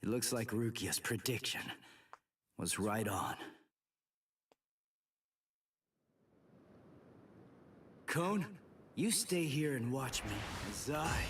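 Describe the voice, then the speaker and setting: A man's voice: fairly high pitch, very animated, slow, a bit bright, distant mic, soft background music, heavily acted. An actor playing a blunt, hot-tempered, sarcastic teenage boy hero in an English anime game dub. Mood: defiant. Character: brash, hot-tempered teenage substitute soul reaper.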